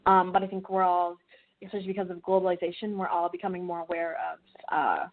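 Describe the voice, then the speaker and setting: A woman's voice: lowish voice, steady tone, quick pace. A young woman from the American Midwest, a filmmaker and student being interviewed, speaking reflectively with many fillers and restarts. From Midwestern US, Wichita, Kansas. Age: young adult. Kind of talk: interview over a call. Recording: tinny phone-like line.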